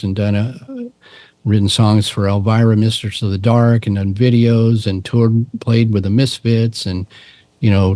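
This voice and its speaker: Low, mellow-voiced man telling stories. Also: dry recording. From Texas. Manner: relaxed, rambling, frequent restarts and fillers. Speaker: podcast guest, musician and cryptid researcher. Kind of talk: casual interview storytelling.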